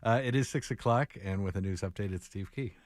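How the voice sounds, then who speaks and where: A man's voice: lowish voice, brisk, no room sound, studio quality. A man chatting casually as a radio host. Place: radio studio. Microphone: close studio microphone.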